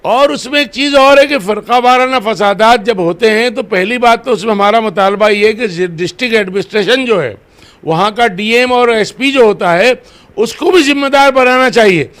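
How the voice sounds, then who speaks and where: A man answering interview questions as head of a religious organisation, deliberate and formally worded. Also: sits high, a bit bright.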